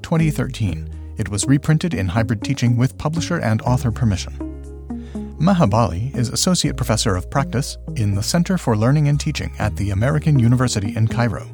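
A man working as a podcast host reads a scripted outro in the role of producer. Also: mid-range voice. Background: theme music.

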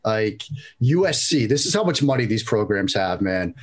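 A man's voice: on the low side, very animated, normal speed, husky. A man from New England with a Boston accent, a former quarterback chatting casually as a podcast guest and joking at his own expense. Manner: self-deprecating, joking.

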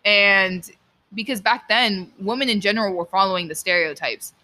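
A woman's voice: mid-range voice, moderate pace, bright, forceful tone. A young woman hosting a podcast in casual, opinionated chat, blunt and emphatic and prone to swearing. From Cuban background. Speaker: podcast host. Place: outdoors.

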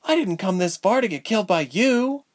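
A man voicing a frightened young heroine, high and pleading. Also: lively intonation, moderate pace. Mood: defiant. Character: frightened defiant young woman with magic.

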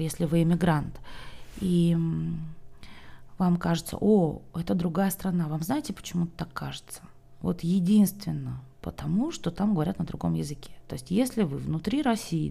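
Gravelly low-voiced woman, chatty and rambling. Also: warm tone. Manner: chatty and rambling, lots of fillers, keeps asking the listener if they follow. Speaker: Turkish language teacher. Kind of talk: casual talk to camera.

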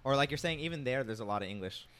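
A man's voice: medium pitch, expressive, rapid-fire, fairly bright tone, clean tone. A young man co-hosting a podcast, laid-back and joking in casual chat. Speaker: podcast co-host.

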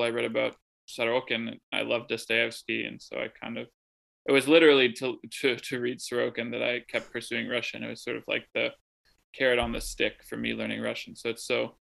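A man's voice: mid-range voice, vocal fry, bright, forceful tone. A young man from the American Midwest, a translator being interviewed from home, talking in a thoughtful, rambling way full of ums and restarts. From Midwest of the United States. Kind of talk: casual conversational interview. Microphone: remote call from home.

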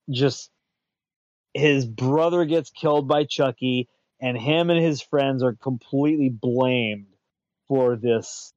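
A man's voice: medium pitch, a little mellow, dry recording. A man hosting a casual pop-culture chat, rambling, restarting and repeating his words and chuckling as he goes. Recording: dull lo-fi dry sound.

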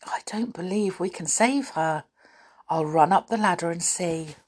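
A woman reading aloud voices a kindly male tree dweller, close to her own reading voice, slow and thoughtful. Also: fairly low pitch, a little mellow, slightly rough. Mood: troubled.

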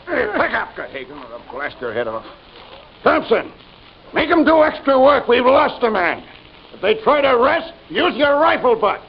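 An actor playing a brutal, bullying prison work-gang boss who barks orders and taunts, in an old-time radio western. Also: male voice, very high-pitched, very animated, normal speed, gravelly, exaggerated voice. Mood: barking, harsh. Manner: barking orders, menacing taunts. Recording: muffled, noisy old radio recording.

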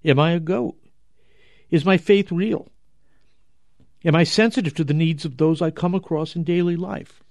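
A man preaching as a religious commentator, emphatic and rhetorical, with deliberate pauses and repetition for weight. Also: fairly high pitch, a little mellow, no room sound, studio quality. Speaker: religious commentator and show host. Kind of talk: dramatic Gospel commentary, preaching to listeners.

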